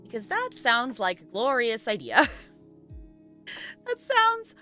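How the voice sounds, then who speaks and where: A woman's voice: on the high side, wildly swooping pitch, slow, pushed and bright, soft background music. A woman live-streaming, chatting casually with her viewers in a rambling, giggly way.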